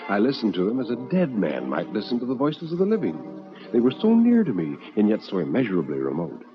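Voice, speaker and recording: A man's voice: on the low side, wildly swooping pitch, over music. Dramatic male narrator on dull old radio. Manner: theatrical, measured, intense.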